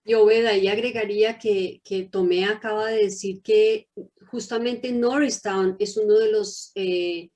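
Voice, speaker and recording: A woman's voice: mid-range voice, steady tone, unhurried, darkish tone. A woman moderating an online community meeting, conversational, with hesitant fillers as she adds context and hands the floor to speakers. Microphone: video call.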